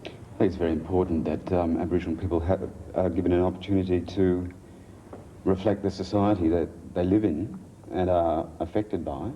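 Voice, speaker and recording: A man giving considered, earnest, somewhat halting interview comments. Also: deep voice, fairly even intonation, muffled recording, a little hiss or hum. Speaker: interviewee on theatre.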